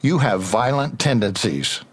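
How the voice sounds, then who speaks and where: An actor playing a stern, confrontational investigator making accusations in an audio drama. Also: male voice, medium pitch, very animated, unhurried, breathy edge. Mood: accusing.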